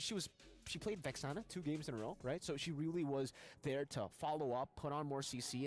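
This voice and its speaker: A man commentating an esports match, excitable and quick to react. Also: mid-range voice. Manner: animated, excitable. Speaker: esports caster.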